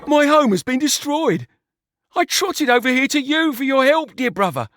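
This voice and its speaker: A man voicing a gluttonous, scheming male pig who sobs melodramatically in a high, wailing voice. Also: big pitch swings, moderate pace, a bit bright, a little hiss or hum, heavily acted. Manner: high wailing sobbing delivery.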